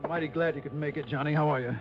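Actor as a harried office manager, higher-pitched. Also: male voice, fairly even intonation, racing, a little hiss or hum, lightly acted. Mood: rueful. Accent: American. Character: harried, exasperated male insurance office manager. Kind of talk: 1950s-60s American radio drama acting. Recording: dull vintage radio recording.

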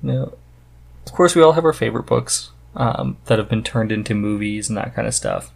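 A man hosting a podcast in a casual, informal chat, thinking aloud in halting phrases. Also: fairly low pitch, gravelly, darkish tone. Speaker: podcast host. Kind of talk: casual conversational chat about hobbies.

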